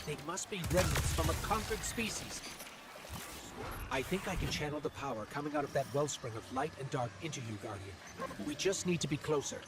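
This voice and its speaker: An actor playing a small floating robot companion in a video game, earnest and hesitant, full of wonder. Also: male voice, on the high side, expressive, brisk, very noisy, lightly acted. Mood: awed. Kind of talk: video game cutscene and dialogue acting.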